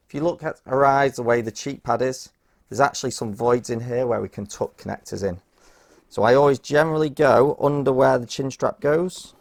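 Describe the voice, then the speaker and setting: A man's voice: mid-range voice, gravelly, darkish tone. A man talking through a hands-on task step by step in an informal, practical, instructional way. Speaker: presenter of a how-to product guide. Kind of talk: hands-on step-by-step tutorial narration.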